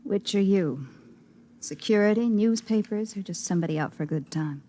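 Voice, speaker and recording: A woman's voice: mid-range voice, moderate pace, dark tone, natural voice. Actress as guarded, bitter young woman. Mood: bitter, wary. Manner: curt, defiant, weary replies. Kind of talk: 1950s radio drama acting.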